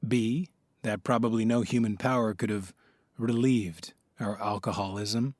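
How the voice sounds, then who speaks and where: Audio drama role: male meeting leader, lowish voice, earnest, measured, encouraging, very dry recording. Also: fairly even intonation, darkish tone, no echo. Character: encouraging, earnest man leading a recovery meeting. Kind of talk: measured reading aloud and announcements.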